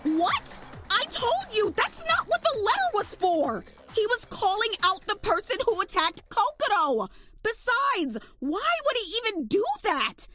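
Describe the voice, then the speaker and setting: Very high-voiced, emotional young woman. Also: sing-song, a little slow, pushed and bright, soft background music, full character voice. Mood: indignant. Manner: emphatic, outraged outbursts. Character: Mamiko, emotional young woman, accusing, stubborn, easily hurt. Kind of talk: audio drama acting.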